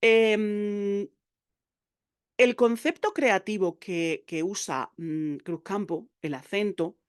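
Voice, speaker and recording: A woman teaching copywriting in a video lesson, speaking straight to the viewer with a lively, chatty manner and frequent fillers. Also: mid-range voice, takes it slowly, clean tone, dry recording, clean studio sound. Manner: lively, addresses viewer directly, many fillers.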